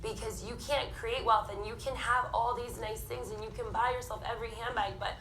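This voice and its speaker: Candid woman in her thirties, bright. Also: on the high side, brisk, roomy sound, slight background noise, low-quality recording. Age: 30s. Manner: candid, animated storytelling. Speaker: panel speaker. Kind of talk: personal testimony on stage. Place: theatre stage.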